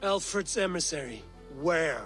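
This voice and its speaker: An actor playing a blunt, wary Viking warrior in a video game, talking in terse, stern, impatient lines. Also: male voice, a little high, animated, a little slow, a bit bright, faint music behind. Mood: pressing. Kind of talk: video game drama acting.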